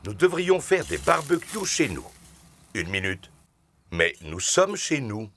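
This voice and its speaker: A man voicing a good-natured, food-loving pig dad in a preschool cartoon, jovial and expressive. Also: mid-range voice, slow, faint music behind, heavily acted. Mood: contented. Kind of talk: animated preschool cartoon dubbing.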